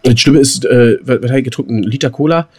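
A man's voice: medium pitch, quick pace, husky. A man co-hosting a podcast, chatting casually about films in colloquial slang with some mild swearing. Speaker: podcast co-host. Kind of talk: casual chat, film talk.

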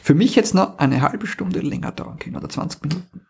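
A man's voice: high voice, expressive, fast talker, gravelly, darkish tone, husky. A man co-hosting a podcast, chatting casually and often agreeing as he discusses audio dramas. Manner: agreeable, often echoing and confirming. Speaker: podcast co-host.

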